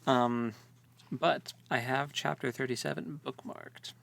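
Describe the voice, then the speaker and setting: A man's voice: medium pitch, fairly even intonation, takes it slowly, creaky, a bit bright. A man co-hosting a casual book discussion, speaking informally and jokily with tentative phrasing. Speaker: podcast co-host.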